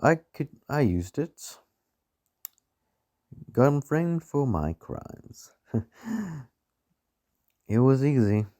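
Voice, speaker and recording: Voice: mid-range voice, sing-song, dark tone. An amateur actor playing a smug, murderous rich man in a radio play, talking in a taunting, gloating way. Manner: slow, gloating, taunting, chuckling between phrases.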